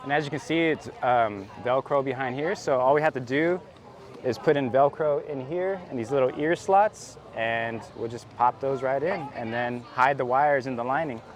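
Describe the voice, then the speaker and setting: A man's voice: medium pitch, moderate pace. A man giving an informal, easygoing product demonstration outdoors with other people around. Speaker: motorcycle vlogger. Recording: busy outdoor chatter behind.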